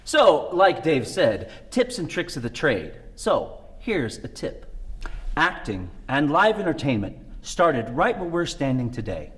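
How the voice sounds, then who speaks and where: A man's voice: a little high, very animated, unhurried, fairly bright tone. A man with a Midwestern American accent co-hosting a theater tips show, delivering scripted lines with theatrical emphasis. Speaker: co-host.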